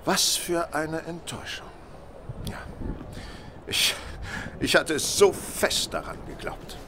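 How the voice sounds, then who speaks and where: An actor playing a shrewd, self-assured gentleman detective in an audio drama, polite and measured in manner. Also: a little high, vocal fry, background noise. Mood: feigned disappointment. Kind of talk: German audio drama acting.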